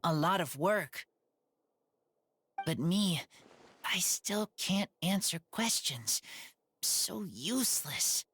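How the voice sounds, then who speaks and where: A man playing an earnest wolf-raised boy in a video game, husky and youthful, talking in short broken sentences. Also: high voice, animated, slow pace, long pauses, fairly bright tone, a little hiss or hum, full character voice. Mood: dejected.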